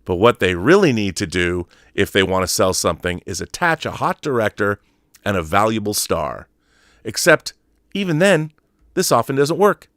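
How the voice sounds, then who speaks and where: Low-voiced man reading aloud, dry close sound. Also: sing-song, normal speed, a bit bright, no echo. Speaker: online show host. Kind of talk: reading an article aloud with explanatory asides.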